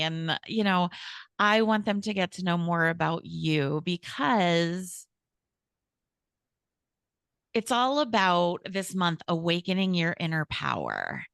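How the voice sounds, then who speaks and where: A woman's voice: fairly low pitch, creaky, a bit bright, dry recording, studio quality. A woman hosting a podcast interview, upbeat and encouraging, with spiritual turns of phrase. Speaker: podcast host.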